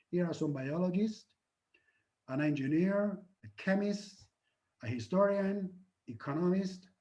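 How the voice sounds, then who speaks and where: Halting, earnest male lecturer, muffled. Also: on the high side, darkish tone. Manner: earnest, halting with frequent fillers and restarts. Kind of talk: academic conference talk and Q&A.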